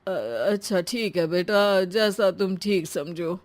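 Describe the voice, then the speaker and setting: A woman voicing a gentle elderly father in a cartoon story, speaking softly and slowly. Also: lowish voice, no echo.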